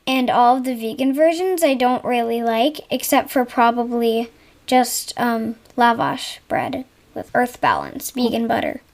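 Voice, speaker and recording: Young daughter guest, high voice, slow and halting. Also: female voice, big pitch swings. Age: young, child. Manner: hesitant, searching for words. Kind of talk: candid conversation with her mother.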